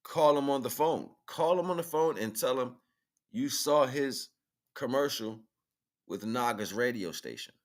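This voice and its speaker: A man's voice: medium pitch, animated, a little slow. A man talking casually and informally as the host of an online livestream, rambling and colloquial as he addresses his listeners.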